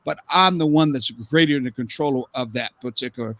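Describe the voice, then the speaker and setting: A man's voice: mid-range voice, brisk, fairly bright tone, dry recording. A man hosting a live-stream talk show, speaking earnestly and rambling with repeated words. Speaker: host.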